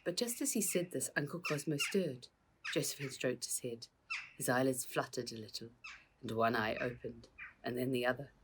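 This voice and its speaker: A woman narrating her own children's storybook in a measured, expressive read-aloud manner. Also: a bass voice, background noise. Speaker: author reading own book.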